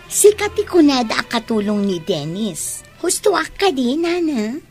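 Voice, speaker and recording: A curious young Ilocano woman in a radio drama. Her voice is fairly high and animated as she asks questions, with faint music behind. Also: a little slow, exaggerated voice. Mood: inquisitive.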